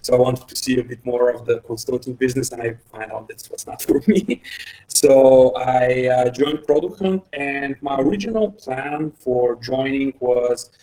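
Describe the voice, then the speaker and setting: A man's voice: medium pitch, normal speed, mellow. A man from Bulgaria in his thirties answering interview questions casually, with many fillers and restarts and the odd light laugh. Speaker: guest, head of engineering. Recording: voice distant from the mic.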